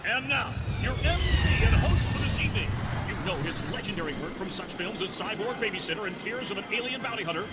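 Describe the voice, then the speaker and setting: An actor playing a bombastic master of ceremonies in a video game, introducing a show with grandiose delivery. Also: male voice, sits high, animated, fast talker, over loud music. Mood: grand, excited.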